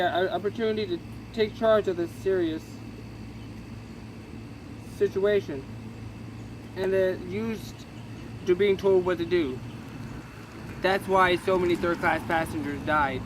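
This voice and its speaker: A man's voice: high voice, steady tone, unhurried, pauses often, noisy background. A man reading a history book aloud, stumbling and correcting himself casually as he goes. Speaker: reader.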